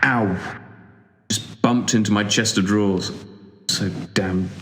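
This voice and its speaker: A man's voice: fairly low pitch, steady tone, takes it slowly, a bit bright. A man playing a reluctant, sarcastic recluse in an audio drama, stammering and awkward in a conversational style. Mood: grouchy, protesting.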